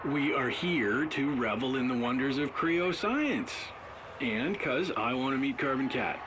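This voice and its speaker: A geeky, enthusiastic boyfriend played by a male actor speaks slowly with mock grandeur. It is a video game interview scene, recorded over loud ambient noise. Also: medium pitch, expressive, natural voice. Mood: playful, mock-grand. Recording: very noisy ambience.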